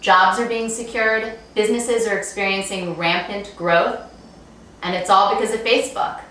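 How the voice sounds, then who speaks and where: Woman, very echoey room, off-mic, breezy playful promo pitch, chatty scripted delivery. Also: fairly even intonation, moderate pace, fairly bright tone. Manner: breezy, playful, asks and answers rhetorical questions. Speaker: author promoting her book and talks. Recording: very echoey room, distant mic, faint noise.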